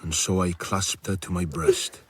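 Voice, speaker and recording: A man's voice: low-pitched, fairly even intonation, a little slow, very noisy. A man narrating a radio drama in the first person as a wry, lovelorn doctor, with a literary, confessional manner. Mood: longing. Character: wry, lovelorn doctor recounting his own story.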